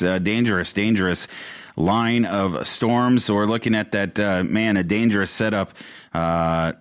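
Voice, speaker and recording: A man hosting live radio storm coverage, ad-libbing in a halting, urgent way. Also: lowish voice. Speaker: radio host covering severe weather.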